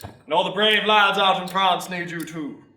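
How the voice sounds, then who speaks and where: A man playing a rousing army sergeant major recruiting in a town square, with loud, declamatory oratory in a theatrical monologue. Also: high voice, moderate pace. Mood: confiding, persuasive. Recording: roomy, distant microphone.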